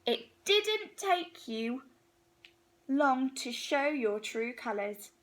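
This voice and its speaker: A woman's voice: high-pitched, big pitch swings, extremely slow, a bit bright, exaggerated voice. A woman voicing an astonished, amused elephant from a children's storybook, exclaiming with emphasis. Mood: amused, delighted.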